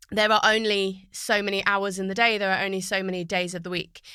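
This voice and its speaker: A woman's voice: medium pitch, bright, forceful tone, clear, resonant tone, dry recording, studio quality. A woman guest on a podcast, a poet and TV writer, telling her story in a fluent, reflective way. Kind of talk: casual podcast conversation. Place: recording studio.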